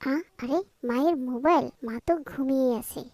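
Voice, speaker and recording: A woman's voice: high-pitched, normal speed, darkish tone, dry recording. A woman voicing a sly, whiny young schoolgirl in a cartoon. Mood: sneaky. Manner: whiny, childish, scheming. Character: sly young schoolgirl who fibs to dodge chores. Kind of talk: cartoon voice acting.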